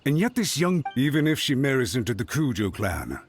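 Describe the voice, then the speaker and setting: A man's voice: medium pitch, very animated, brisk, soft background music. An actor playing a haughty, evasive male clan official in a video game, condescending and defensive. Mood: smug.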